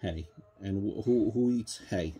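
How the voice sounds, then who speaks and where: Slow, mellow man reading a bedtime story. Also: lowish voice, slightly rough. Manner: patient, prompting with questions. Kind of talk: reading a children's counting book aloud. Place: small child's bedroom, indoors.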